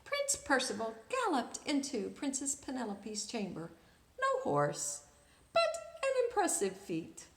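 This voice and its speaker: Female storybook reader for kids: high, bright voice, unhurried and expressive, echoey room. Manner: expressive, pauses to ask listeners questions. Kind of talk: animated children's read-aloud.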